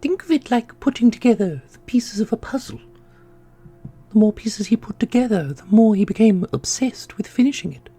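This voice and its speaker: A man voicing a solemn, knowing keeper of an ancient magical order in a fantasy audio roleplay, speaking in a formal, measured way. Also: high-pitched, expressive, dark tone, breathy edge, full character voice. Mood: solemn, wistful. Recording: music under the voice.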